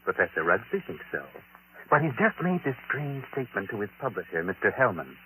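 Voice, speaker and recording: A man's voice: fairly low pitch, sing-song, sharp tone, a little hiss or hum, low-quality recording. A man playing a sinister, knowing narrator of a 1940s radio mystery, dramatic and ominous, on a vintage recording. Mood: intrigued. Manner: dramatic, ominous, deliberate phrasing. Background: music.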